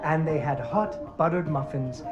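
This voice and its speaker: An actress voicing a gentle woman narrating a bedtime puppet story to a child, in a soft, sing-song, fond manner. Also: sits low, unhurried, dull audio. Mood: storytelling. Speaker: storyteller in a video game. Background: game music.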